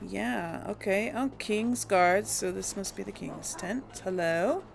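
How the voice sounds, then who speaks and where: A woman's voice: medium pitch, takes it slowly, gravelly, slight background noise. A woman hosting a casual role-play game video, talking playfully as she goes. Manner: playful, chatty, laughs often. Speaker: let's play host.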